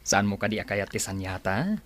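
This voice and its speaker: A man's voice: lowish voice, sing-song, moderate pace, fairly bright tone, a little hiss or hum. A man with an Ilocano accent playing a smitten, confident office boss in a radio drama, flirtatious and playful.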